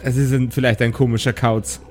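A man's voice: mid-range voice, flat delivery, moderate pace, soft background music, natural voice. A man voicing a kind, slightly melancholic clone woman who speaks politely and a little hesitantly in an improvised audio play. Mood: friendly.

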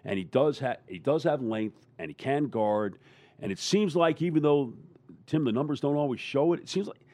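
A man in a casual sports roundtable, talking as a panelist in a halting, rambling way. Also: medium pitch, animated, a little mellow, dry recording.

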